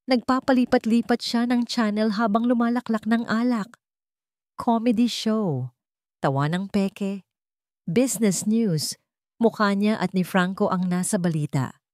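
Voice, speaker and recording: Low-voiced woman narrating a drama. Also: a little mellow, dry recording, studio quality. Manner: measured, dramatic storytelling.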